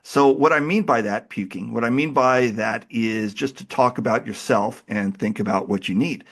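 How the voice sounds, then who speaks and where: A man hosting a podcast while he has a cold, talking in a relaxed, chatty and self-deprecating way. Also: mid-range voice, moderate pace. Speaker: podcast host. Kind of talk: casual interview chat.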